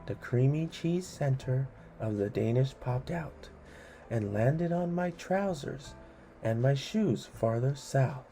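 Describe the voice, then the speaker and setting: A man reading funny children's rhymes aloud in a deliberate, theatrical storytelling way. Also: mid-range voice, lively intonation, warm tone, faint music behind. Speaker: read-along host.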